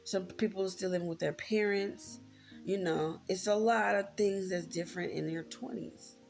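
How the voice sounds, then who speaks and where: A woman's voice: medium pitch, moderate pace, gravelly, a little mellow, faint music behind. An American woman in her thirties talking candidly and informally to her viewers, reflective and repeating points for emphasis. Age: 30s. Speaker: vlogger. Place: indoors, room with a closet.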